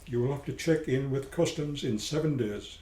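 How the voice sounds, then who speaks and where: A man's voice: medium pitch, normal speed, dark tone, close to an everyday voice. A man playing a purple-furred alien customs officer, with curt, officious questioning in an amateur radio play. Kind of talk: amateur radio drama acting. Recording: roomy echo.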